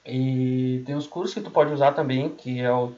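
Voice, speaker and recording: A man's voice: mid-range voice, steady tone, normal speed, dark tone. Male tutor, Brazilian accent, mid-range voice, colloquial and explanatory, circles back to rephrase; dull lo-fi recording. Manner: colloquial, repeats and rephrases himself. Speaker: language tutor giving learning tips. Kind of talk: informal explanatory talk. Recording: dull, muffled lo-fi audio.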